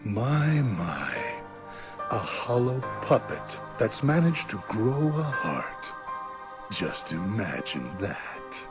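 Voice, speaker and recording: A man voicing a cold villain mastermind in a video game, deliberate, condescending and menacing. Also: lowish voice, very animated, full character voice. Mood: mocking. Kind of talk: animated video game voice acting. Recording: loud music behind the voice.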